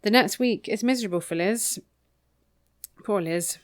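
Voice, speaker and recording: A woman's voice: medium pitch, measured pace. A woman co-hosting a podcast, casually recapping a book in an informal, matter-of-fact way. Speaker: podcast co-host.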